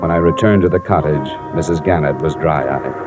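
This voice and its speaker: Low-voiced hard-boiled American radio detective. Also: male voice, moderate pace, over loud music. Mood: dry narration. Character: hard-boiled, persistent male insurance investigator. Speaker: insurance investigator, lead character and narrator. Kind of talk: old-time radio detective drama acting. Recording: vintage radio drama recording.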